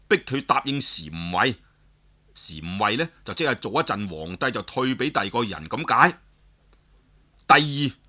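A man's voice: medium pitch, pushed and bright. A man with a Cantonese accent telling a story in a colloquial, explanatory way, putting rhetorical questions to listeners. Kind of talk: dramatic Cantonese storytelling narration.

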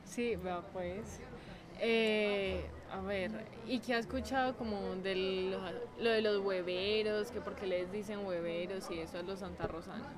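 A woman's voice: mid-range voice, steady tone, unhurried. Colombian woman chatting over market crowd. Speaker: co-interviewer. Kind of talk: casual chat interview. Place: public market. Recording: busy market crowd noise.

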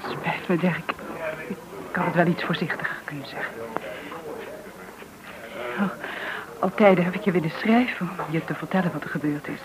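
An actress playing a composed, apologetic widow, speaking with restraint and some hesitation in a naturalistic style. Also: female voice, fairly low pitch, moderate pace, pauses often, lightly acted. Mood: sorrowful, restrained. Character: composed, apologetic young-sounding widow greeting old friend. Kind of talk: naturalistic radio play acting. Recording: dull radio recording, background crowd bustle.

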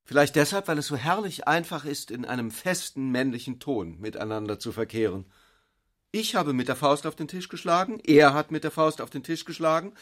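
An actor narrating a literary radio drama as a cool, intellectual brother, speaking in an articulate, measured way. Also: male voice, fairly high pitch, fairly bright tone, no room sound, studio quality, natural voice. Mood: wry, reflective.

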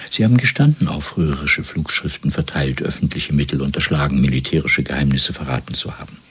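An actor playing a cold, controlled interrogator in a 1970s German radio drama, speaking in a measured, deliberate, menacing way. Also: male voice, a bass voice, a bit bright, slightly rough, exaggerated voice. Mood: cold, accusing. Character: cold, controlled male Party interrogator and torturer.

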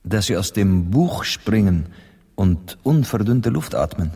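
An actor playing a measured, wry Spanish poet and interpreter in a radio drama, calm and deliberate. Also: male voice, on the low side, slightly rough, full character voice. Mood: wry, gentle. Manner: deliberate, calm, gently ironic. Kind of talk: radio play acting.

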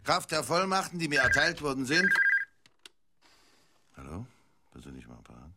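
An actor playing an easily distracted, reluctant announcer in a radio play, casual but given to pompous officialese. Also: male voice, medium pitch, huge pitch swings, moderate pace, heavy background noise. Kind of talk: German radio play acting.

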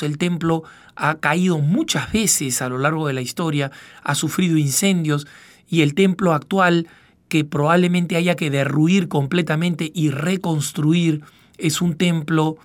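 Measured middle-aged man reflecting on radio. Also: on the high side. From born in Peru, raised in northwestern Argentina. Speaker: radio program host, news agency director.

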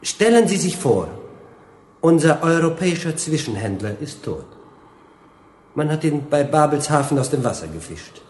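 An actor playing a smug young general-dictator in a German radio drama, gushing with oily familiarity and rambling on with jovial menace. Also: male voice, fairly high pitch, very animated, normal speed, darkish tone. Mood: mock-friendly menace. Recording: roomy, echoing studio scene.

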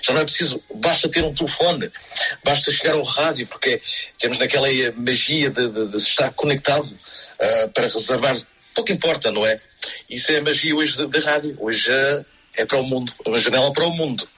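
Middle-aged man, bright husky voice. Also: mid-range voice, a little fast, poor audio. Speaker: community radio presenter. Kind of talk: casual panel conversation.

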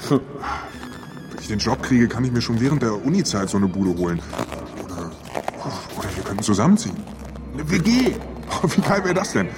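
A man's voice: medium pitch, sing-song, brisk, close to an everyday voice. An actor playing a geeky, eager young male student in a radio drama, with flippant, nerdy slang. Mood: geeky, excited. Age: young adult. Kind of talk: naturalistic radio drama acting. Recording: music behind the voice.

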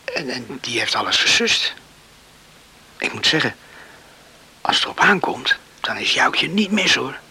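A man's voice: mid-range voice, very animated, unhurried, long pauses, sharp tone, breathy edge, exaggerated voice. An actor playing a hot-headed young village man devoted to his mother in a Dutch radio play. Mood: quieter, grumbling. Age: young adult.

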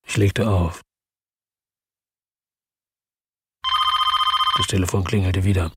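A man's voice: low-pitched, fairly even intonation, fairly quick, very noisy, natural voice. An actor playing a middle-aged, weary and bitter ex-policeman, narrating tersely in a radio drama. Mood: tense. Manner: terse, sombre, matter-of-fact. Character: weary, bitter male ex-policeman narrating his own crime story.

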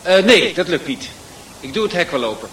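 A man's voice: on the high side, very animated, brisk, fairly bright tone, slight background noise, low-quality recording. An actor playing a polite, insinuating clergyman in a radio drama, courteous and a little hesitant. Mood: politely hesitant.

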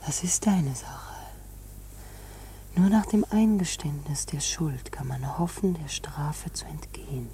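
Radio play casting: coaxing, bossy young temptress, unhurried, very animated intonation, whispery, slightly hissy recording. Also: female voice, low-pitched. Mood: coaxing, intimate. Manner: slow coaxing whispers, sharp commands. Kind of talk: German radio play acting.

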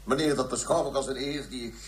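An actor playing a pompous, evasive police inspector who talks in stiff officialese in a radio play. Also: male voice, mid-range voice, fairly even intonation, fast talker, natural voice. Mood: self-satisfied. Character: pompous, self-important male police inspector giving an interview. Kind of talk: radio drama acting. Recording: roomy, echoing radio play recording.

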